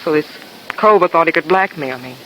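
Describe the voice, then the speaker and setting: A woman's voice: low voice, a little fast, bright, forceful tone. An actress playing a bitter, despairing young woman in a 1950s radio drama, speaking in short, weary, dramatic phrases. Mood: despairing, resigned. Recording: noisy vintage radio recording.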